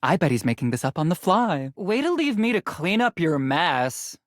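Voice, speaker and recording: A woman's voice: on the low side, sing-song, moderate pace, no room sound, clean studio sound. A woman voicing a vain, snarky young female influencer character in a video game, self-absorbed and full of social media speak. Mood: bratty, sarcastic. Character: vain sassy young female influencer lamp, fame-obsessed.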